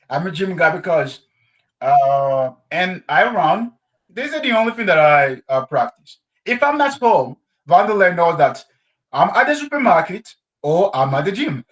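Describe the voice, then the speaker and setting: Man from Congo, high animated voice, non-native English, casual and expressive, laughs often. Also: muffled recording. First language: not English. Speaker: guest. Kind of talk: casual conversation in a class.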